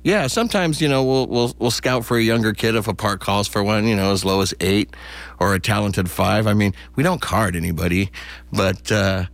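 A man's voice: on the low side, normal speed, gravelly, no room sound. A middle-aged or older man giving casual, anecdotal answers as a theater director being interviewed.

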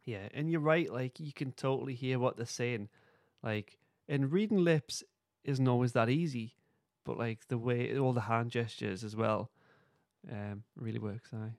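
A man's voice: mid-range voice, a little slow, no room sound, studio quality. A man hosting a podcast, casually recapping and discussing in an informal way. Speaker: podcast host.

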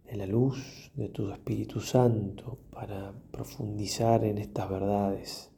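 A man, a priest leading a guided prayer meditation in a solemn, reflective manner with long pauses and softly trailing phrases. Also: fairly low pitch, level tone, gravelly, a little hiss or hum.

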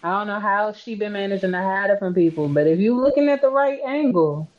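A woman from Arkansas hosting a casual podcast, loose and joking, with plenty of slang. Her voice sits at a middle pitch, and the sound is a bit dull and lo-fi. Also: brisk. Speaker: podcast host. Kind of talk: casual chat about wrestling.

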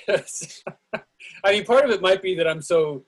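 A man hosting a discussion on a video call, excitable and lively, often restarting his sentences. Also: high-pitched, takes it slowly, husky. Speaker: host of a play reading discussion. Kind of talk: casual group discussion.

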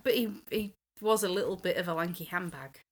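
A woman's voice: lowish voice, expressive, a little fast. A British woman chatting casually as a panellist on a podcast. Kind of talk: casual chat.

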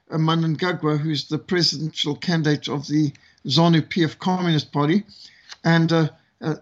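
Sardonic man from Zimbabwe, lo-fi sound. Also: on the high side. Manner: sardonic, with frequent fillers. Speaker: guest commentator. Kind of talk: political commentary in conversation.

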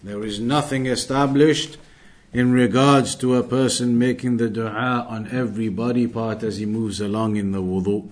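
A man giving an Islamic lesson, reading out Arabic passages and then explaining them in English in a deliberate, repetitive way. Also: medium pitch, steady tone. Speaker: Islamic studies teacher reading and explaining a text.

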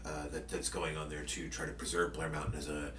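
A man's voice: extremely low pitch, little pitch movement, a little fast, fairly bright tone, reverberant, distant mic, soft background music. A man who works as a podcaster and journalist, talking casually about past interviews in a rambling way with many fillers and restarts.

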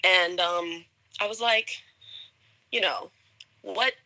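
A woman's voice: on the low side, slow, sharp tone, poor audio. A young American woman chatting casually as a guest in an interview. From United States, suburbs of Atlanta, Georgia. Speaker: podcast guest, English teacher.

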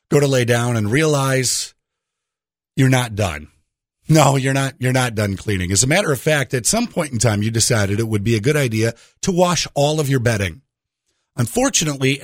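A man's voice: medium pitch, no echo, studio quality. A man hosting a radio show with a jokey conversational monologue, putting rhetorical questions straight to the listener.

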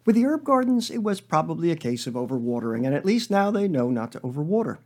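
A man reading a comic story monologue as a weary, defensive schoolteacher who rambles in a deadpan, hesitant way. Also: fairly high pitch, fast talker. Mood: rambling, defensive. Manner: deadpan, hesitant, self-justifying digressions.

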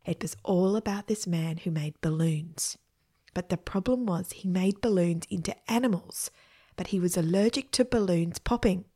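Woman as a deadpan teller of absurd tales. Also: fairly low pitch, normal speed, slightly rough. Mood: matter-of-fact.

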